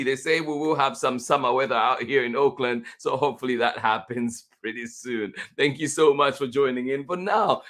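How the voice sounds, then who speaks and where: A man's voice: mid-range voice, a bit bright. A man hosting a children's story livestream, casually greeting viewers and thanking them in an encouraging, appreciative way.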